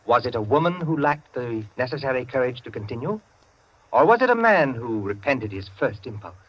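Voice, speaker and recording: A man's voice: medium pitch, very animated, brisk, husky. An actor playing a pompous, condescending clubman storyteller in a 1940s radio drama, heard on a dull old broadcast. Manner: lecturing, condescending.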